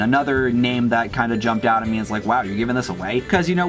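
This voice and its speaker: A man in his twenties hosting a casual unboxing vlog, chatting and giving his opinions on music as he goes. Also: lowish voice. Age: 20s. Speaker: YouTube host.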